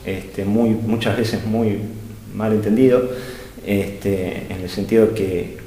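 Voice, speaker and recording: A man thinks aloud in an unscripted philosophical talk, pausing and restarting as he hunts for the right words. His voice sits at an ordinary middle pitch. The sound rings through a large reverberant hall. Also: darkish tone. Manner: hesitant, searching for words with many fillers and restarts. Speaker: speaker at an art-gallery think tank. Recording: echoey hall with long reverb.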